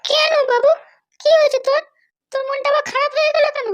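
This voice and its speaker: A woman's voice: very high voice, steady tone, fast. A woman voicing a doting but bossy mother in a cartoon, fretful, insistent and nagging. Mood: worried, coaxing. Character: doting, anxious, bossy mother of a grown son. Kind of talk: cartoon dialogue.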